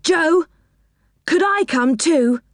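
A whiny, excitable young child from a storybook, voiced by a woman. The voice sits very high and swoops about as it pleads and insists. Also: moderate pace, no echo, clean studio sound. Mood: begging. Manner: begging, insistent.

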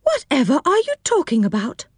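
A woman's voice: on the high side, expressive, moderate pace, no echo, studio quality, voice pushed up, close to an everyday voice. A woman playing a plaintive, grumbling male character from a children's storybook. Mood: feigned sleepy innocence. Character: gloomy, grumbling male storybook tree-dweller, feigning innocence.

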